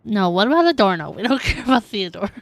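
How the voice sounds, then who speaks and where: A woman game streamer giving casual live reaction commentary in an expressive, chatty, informal way. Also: medium pitch, quick pace, vocal fry.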